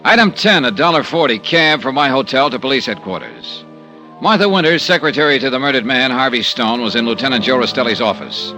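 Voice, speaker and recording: A hard-boiled insurance investigator talks in a dry, clipped, sardonic way. His voice is bright and moves a lot in pitch. It is an old-time radio drama. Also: lively intonation, a little fast, faint music behind. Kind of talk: 1950s radio drama acting.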